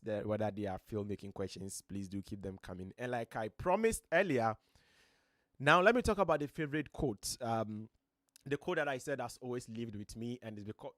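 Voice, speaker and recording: Earnest Ghanaian man, deep voice. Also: dry recording, studio quality. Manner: earnest, motivational, emphatic rises. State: voice slightly strained. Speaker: host. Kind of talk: live-stream talk. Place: home studio.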